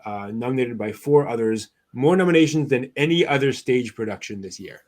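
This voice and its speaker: Casual male news-show host, mid-range voice, conversational and slightly halting. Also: medium pitch, lively intonation, darkish tone.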